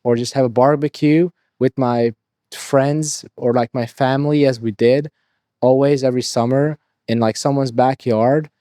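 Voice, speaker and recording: A nineteen-year-old man from Hungary, rambling quickly and swearing freely as a podcast guest. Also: mid-range voice, steady tone, dark tone, no room sound, studio quality. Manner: rapid, rambling, swears freely, restarts sentences. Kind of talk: casual interview talk.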